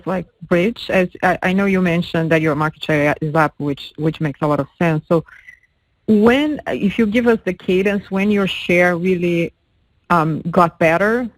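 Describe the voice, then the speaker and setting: A woman's voice: on the low side, no room sound, poor audio. A woman, a financial analyst, asking a rambling, searching question on an earnings call.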